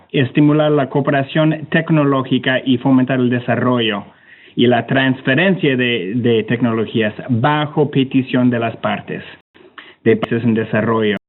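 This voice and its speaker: Halting Canadian-accented man on a phone-like line. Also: medium pitch. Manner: halting, hesitant, repeats words while searching for them. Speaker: webinar panelist, climate technology organisation representative. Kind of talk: informal spoken presentation with slides. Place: online webinar call. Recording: narrow tinny phone-like online connection.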